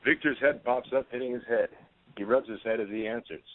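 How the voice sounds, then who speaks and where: A man's voice: mid-range voice, brisk, heavy background noise. A man narrating a play script, reading out the stage directions. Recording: tinny phone-line audio.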